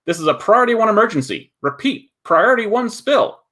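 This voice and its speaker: Man acting an urgent, high-strung oil rig worker. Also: expressive, fairly quick, a bit bright. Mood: urgent alarm. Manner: urgent, raised voice. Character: panicked oil rig worker radioing in emergency. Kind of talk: dramatic storyboard reading.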